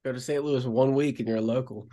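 A man's voice: medium pitch, steady tone, quick pace, mellow. Upbeat man hosting a sports podcast. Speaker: podcast host. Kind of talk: casual sports podcast chat. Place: Columbia.

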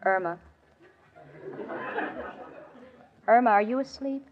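An actress playing a sensible, exasperated young roommate in a 1940s radio comedy, with a wry, theatrical delivery. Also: female voice, fairly high pitch, quick pace, lightly acted. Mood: irritated. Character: exasperated young woman roommate, sensible, sarcastic, long-suffering. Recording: muffled, noisy old radio broadcast recording. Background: studio audience, hiss.

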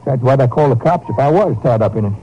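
An actor playing a defensive ex-convict rancher with colloquial speech in a 1950s radio drama. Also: male voice, mid-range voice, animated, fast talker, warm tone, a little hiss or hum, close to an everyday voice. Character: defensive rancher suspect with a criminal record. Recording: dull 1950s radio recording.

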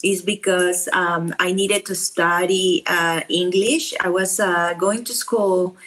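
Slow-speaking Peruvian woman, halting. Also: on the low side, steady tone, fairly bright tone. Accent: Peruvian Spanish. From Peru. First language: Spanish. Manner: halting, searching for words with fillers. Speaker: podcast guest. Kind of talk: interview conversation. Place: at home.

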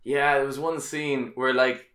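A man of about thirty with a bright, forward voice at a middling pitch. He talks as a guest in a loose, jokey catch-up, rambling and swearing freely. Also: steady tone, a little slow. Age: around thirty. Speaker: podcast guest. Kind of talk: casual chat reminiscing with an old friend.

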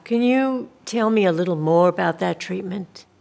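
A woman's voice: lowish voice, normal speed, creaky, a little mellow. An actress playing a polite but persistent government social worker in an audio drama, soothing and officious as she questions someone. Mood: officious, pressing. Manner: politely insistent, bureaucratic, soothing reassurances. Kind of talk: scripted audio drama dialogue.

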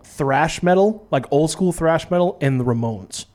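A man's voice: a little high, lively intonation, a little mellow. A man hosting a radio show, joking wryly and plugging his own program in casual studio chat. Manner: joking, wry, quick asides.

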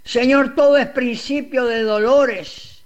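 A man's voice: extremely high pitch, steady tone, takes it slowly, dull audio. A man leading a fervent radio prayer, pleading and repeating the address to God. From Uruguay. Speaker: radio preacher.

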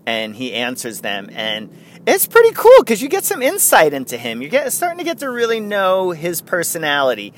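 A man's voice: on the high side, a bit bright, a little hiss or hum. A man with a New York accent hosting his own podcast in a rambling, casual way, chuckling and restarting his sentences. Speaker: podcast host. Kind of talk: casual solo podcast chat.

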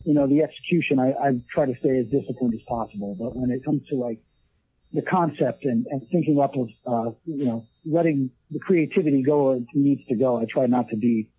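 A man's voice: medium pitch, fairly quick, breathy edge, dry recording. A man in his late thirties talking casually in an interview, rambling with many restarts and fillers. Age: 30s. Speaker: leatherwork artist guest. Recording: tinny phone line.

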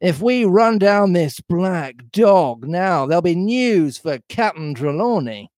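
A man reading aloud as a wily old-fashioned storybook pirate, blustering and emphatic. His pitch rides high and swoops widely, and he drags his words out slowly. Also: expressive, darkish tone, no room sound, clean studio sound. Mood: excited, scheming. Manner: drawn-out, emphatic, swooping delivery. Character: Long John Silver, blustering, wily male pirate sea-cook. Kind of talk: storybook read-aloud character voice.

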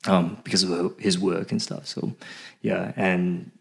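Podcast guest: middle-aged male, deep pitch, conversational, halting delivery with repeated words. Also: steady tone, creaky. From Taiwan, later Australia and Canada. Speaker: podcast guest, fishing website founder. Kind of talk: casual interview chat.

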